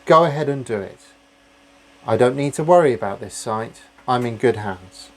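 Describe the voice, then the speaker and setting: A man voicing Goldilocks, a picky young woman property developer, in a fussy, complaining manner and a raised pitch. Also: expressive, moderate pace. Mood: content.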